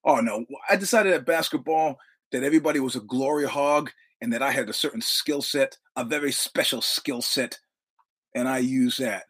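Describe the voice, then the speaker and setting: A man's voice: medium pitch, normal speed, fairly bright tone, slightly rough. A man, hosting his show and telling a profane, swaggering story while acting out the dialogue. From New York, Brooklyn. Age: 60s. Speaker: podcast host. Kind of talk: casual storytelling monologue.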